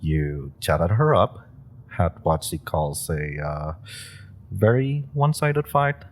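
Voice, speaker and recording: A man's voice: deep voice, animated, takes it slowly. A young man voicing an eager, pushy super-powered rookie in an audio roleplay, talking the listener round with persuasive, playful chatter.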